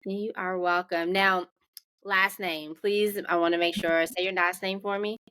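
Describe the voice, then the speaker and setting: Bright-voiced woman hosting a podcast. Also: on the low side, fairly even intonation, a little slow, smooth. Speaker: podcast host. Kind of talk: podcast introduction and interview chat.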